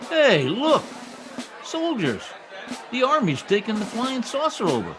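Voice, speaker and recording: A man's voice: very high voice, huge pitch swings, unhurried, over music, a crowd in the background, full character voice. Man as a cocky small-town blowhard husband, slangy, folksy, hugely swooping delivery, radio comedy. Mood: excited. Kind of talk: old-time radio comedy acting.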